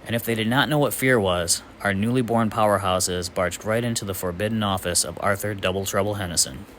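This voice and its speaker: A man reading absurd comic literary snippets aloud in a deadpan storytelling manner. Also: on the low side, brisk, a little hiss or hum. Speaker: amateur reader.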